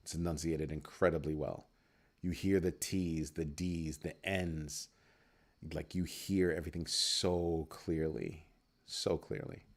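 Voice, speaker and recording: Male livestream host, low voice, animated and joking with his chat. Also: slow pace. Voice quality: deep voice. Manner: animated, playful, reacting to chat comments. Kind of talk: casual livestream chat with viewers.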